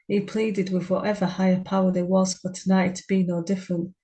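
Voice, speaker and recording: A woman co-hosting a story podcast, chatting and then reading the prologue of her own novel in a steady narrating voice. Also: medium pitch. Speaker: podcast co-host, author. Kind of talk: casual chat and reading a book prologue.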